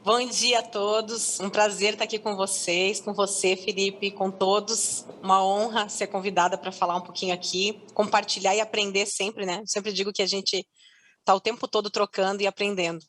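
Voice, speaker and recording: A woman's voice: medium pitch, little pitch movement, moderate pace, fairly bright tone, poor audio. A woman in her forties from Curitiba with a Curitiba accent, a customer success head speaking on an online panel, chatty and upbeat, laughing easily. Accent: Curitiba Portuguese. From Curitiba, Brazil. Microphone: video call.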